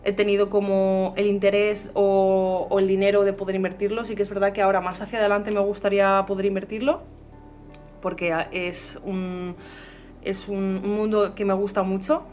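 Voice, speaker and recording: A woman's voice: mid-range voice, little pitch movement, moderate pace, a little mellow. Female amateur voice actor, conversational, level intonation, faint music bed. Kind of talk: casual interview testimonial.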